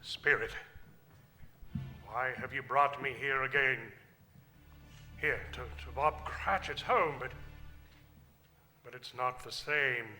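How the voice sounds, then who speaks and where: An actor playing a frightened, repentant old miser in a staged radio play, halting, stammering and pleading theatrically. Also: male voice, medium pitch, sharp tone, faint music behind. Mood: anguished. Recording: live stage recording, faint noise.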